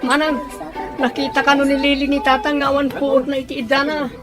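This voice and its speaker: Woman as a high-voiced, distressed Filipino younger sibling. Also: level tone, fairly quick, over music, close to an everyday voice. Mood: distressed, pleading. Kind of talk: melodramatic Filipino radio-style drama acting.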